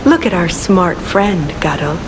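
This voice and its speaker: A sly video game rogue coaxes and teases in a slow drawl. Her pitch moves playfully, and steady noise like rain sits behind her. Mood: sly, coaxing. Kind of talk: game character dialogue.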